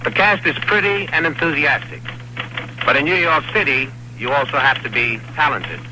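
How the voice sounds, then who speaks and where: A man's voice: a little high, moderate pace. A man voicing a 1960s radio advertisement on an old, slightly noisy recording.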